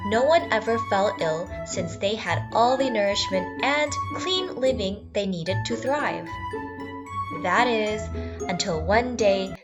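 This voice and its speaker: A woman's voice: medium pitch, lively intonation, slow. A woman reading a scripted fairy tale for children as a storyteller. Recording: slightly dull sound, music bed.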